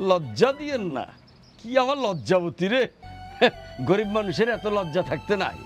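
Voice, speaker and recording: A man's voice: high-pitched, lively intonation, a little slow, soft background music. A man acting a newly rich, contemptuous father-in-law in a family drama, with a Bangladeshi accent. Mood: mocking. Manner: mocking, contemptuous, needling.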